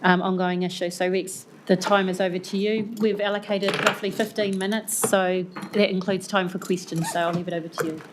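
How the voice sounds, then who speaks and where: A woman chairing a formal council meeting, brisk and procedural with a few ums. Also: lowish voice, flat delivery. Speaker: committee chair. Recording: webcast with faint room noise.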